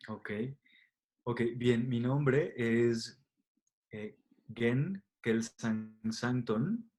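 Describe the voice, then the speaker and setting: A man's voice: medium pitch, very slow, darkish tone. A man with a Mexican accent answering interview questions as a Buddhist teacher, explaining didactically with rhetorical questions and tags.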